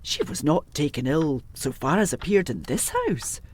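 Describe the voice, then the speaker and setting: A woman's voice: low-pitched, lively intonation, normal speed, breathy edge. A woman playing a loyal, plain-spoken older housekeeper in a gothic period audio drama, emphatic yet deferential. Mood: guarded. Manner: deferential, emphatic, old-fashioned servant speech.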